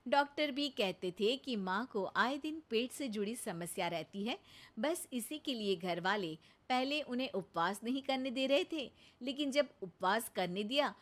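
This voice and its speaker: A woman narrates a cartoon story. Her voice is high, and the delivery is scripted storytelling. She speaks as the narrator of a family tale. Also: expressive, a little slow.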